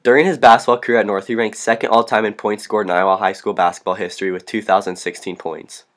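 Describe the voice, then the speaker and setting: A teenage student reporter reading a scripted news segment. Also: male voice, on the low side, fairly even intonation, fast. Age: teens. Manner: reads from a script.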